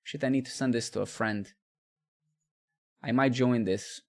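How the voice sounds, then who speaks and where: Gravelly man chatting casually. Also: medium pitch, steady tone, unhurried. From Serbia. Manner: rambling, repeats words, swears casually. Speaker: live coding streamer. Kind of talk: casual chat with stream viewers.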